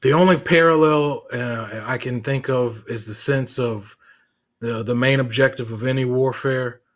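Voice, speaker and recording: A man who is a Marine veteran, a guest in a casual interview, speaking in a measured way with many pauses and restarts. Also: medium pitch, a bit bright.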